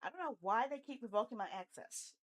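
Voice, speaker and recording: A woman's voice: on the high side, animated, quick pace. A woman hosting a casual gaming livestream, talking in a rambling, candid, grumbling way. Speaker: video game livestreamer, host.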